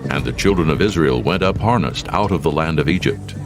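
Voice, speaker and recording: A man narrates scripture with solemn, measured delivery. His voice is extremely deep and gravelly, and music plays clearly behind him. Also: animated.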